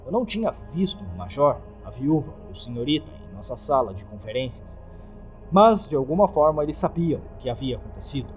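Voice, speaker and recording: A man's voice: medium pitch, sing-song, fairly quick, soft background music. A man with a Brazilian accent narrating a scripted horror story.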